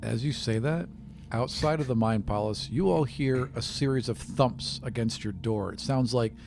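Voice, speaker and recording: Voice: medium pitch, moderate pace, vocal fry, noisy background. A game master narrating an improvised tabletop game.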